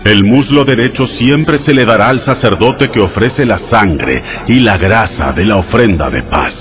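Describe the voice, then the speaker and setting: An actor voicing God as a solemn, commanding lawgiver, speaking in a measured, authoritative way in a dramatized audio Bible. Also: male voice, lowish voice, animated, music in the background.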